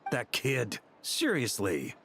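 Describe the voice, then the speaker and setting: An actor playing a composed, stern knight commander in a scripted game scene. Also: male voice, mid-range voice, wildly swooping pitch, slow pace, creaky, fairly bright tone, slight background noise, exaggerated voice. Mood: firm, exasperated.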